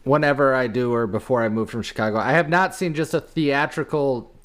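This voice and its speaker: A man's voice: mid-range voice, fairly quick. A man talking casually as a co-host of a podcast.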